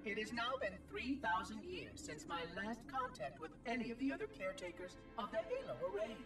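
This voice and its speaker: High-pitched, fussy robotic caretaker. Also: male voice, wildly swooping pitch, brisk, full character voice. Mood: weary, matter-of-fact. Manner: prim, formal, imperious, verbose. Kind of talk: scripted game cutscene acting. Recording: distant, with music underneath. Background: music.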